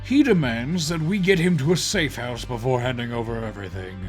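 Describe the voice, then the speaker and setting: An actor playing a serious, measured police chief in an audio drama, briefing officers in a grave, deliberate way. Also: male voice, mid-range voice, expressive, faint music behind, close to an everyday voice. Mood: serious, businesslike.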